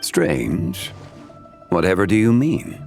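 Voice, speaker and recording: Deep, serene, cryptic gentleman. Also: huge pitch swings, creaky, soft background music, full character voice. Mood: mildly amused. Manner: measured, formal, composed. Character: serene, wise, cryptic gentleman, composed and philosophical. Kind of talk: English video game voice acting.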